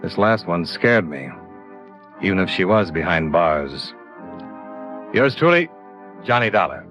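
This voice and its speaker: An actor playing a tough, world-weary insurance investigator in a clipped, wry 1950s radio detective style. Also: male voice, low voice, big pitch swings, measured pace, music in the background. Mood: wry narration. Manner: clipped, wry, hard-boiled. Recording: dull old radio recording.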